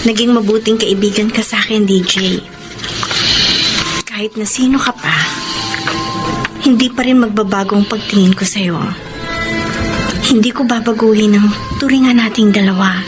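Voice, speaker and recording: An actress playing a gentle, frank woman friend in a Tagalog radio romance, with a Filipino accent and a soft, sincere manner. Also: medium pitch, fairly even intonation, normal speed, pauses often, loud music behind the voice, close to an everyday voice.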